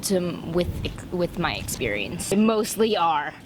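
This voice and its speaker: A woman's voice: lowish voice, sing-song, slow. A young woman answering street interview questions hesitantly, restarting her sentences, outdoors on a city street. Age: young adult. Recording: street noise.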